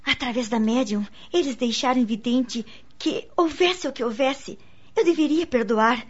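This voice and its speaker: Woman playing an anxious wife, high husky voice. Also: normal speed. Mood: pious resolve. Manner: emotional, earnest. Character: anxious, remorseful wife, pious and gentle-hearted. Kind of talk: radio soap opera acting. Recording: slightly muffled studio recording.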